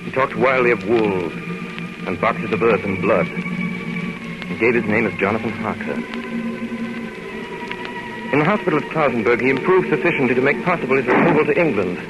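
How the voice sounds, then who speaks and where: An actor playing an earnest asylum doctor, narrating formally and dramatically in 1930s radio drama style on an old, noisy recording. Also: male voice, mid-range voice, animated, moderate pace, pauses often, highs cut off, over loud music, lightly acted. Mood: grave, formal.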